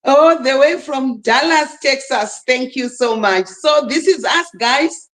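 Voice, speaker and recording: A woman's voice: sits high, slow, fairly bright tone. A woman from Zimbabwe hosting a live stream panel, speaking emphatically and urging the listeners on. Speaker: livestream host. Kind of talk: casual live stream talk.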